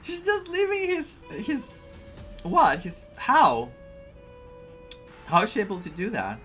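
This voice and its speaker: A man giving casual, reactive playthrough commentary, musing in a halting way as he goes. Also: high-pitched, wildly swooping pitch, fairly bright tone, faint music behind. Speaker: gaming streamer.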